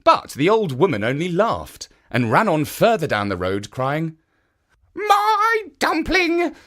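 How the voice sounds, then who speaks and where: A man reading a children's story as scripted narration, in an expressive, measured storytelling manner. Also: fairly high pitch.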